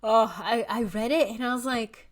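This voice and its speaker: A woman's voice: on the high side, normal speed. A woman in her thirties, originally from Bulgaria, talking casually as an author guest, full of likes, ums and restarts, laughing often. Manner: chatty, laughs often, many fillers and restarts. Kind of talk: casual interview conversation.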